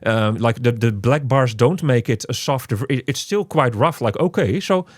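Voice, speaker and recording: A man hosting a casual game review, speaking haltingly and often repeating his words. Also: mid-range voice, lively intonation, dry recording, clean studio sound. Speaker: podcast host reviewing a video game.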